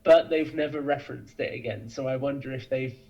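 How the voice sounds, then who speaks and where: A man's voice: mid-range voice, a little fast. A man speaking as a podcast guest in casual fan discussion, earnest and eager as he speculates, on a dull, lo-fi recording.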